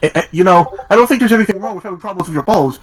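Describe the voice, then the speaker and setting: Guy doing a young cartoon fox kid, sincere rambly buddy type, sorta high male voice. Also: rapid-fire, breathy edge. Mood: earnest, encouraging. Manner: earnest, rambling. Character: earnest, apologetic young cartoon fox boy, supportive buddy.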